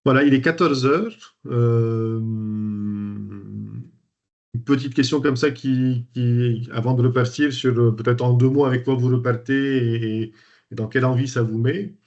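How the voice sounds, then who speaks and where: A man's voice: mid-range voice, a little slow, a little mellow. A man from Lebanon hosting a coaching webinar, talking informally with frequent fillers and restarts. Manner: hesitant, many fillers and restarts. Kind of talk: informal presentation to an online group. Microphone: video call. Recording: dull lo-fi video call audio.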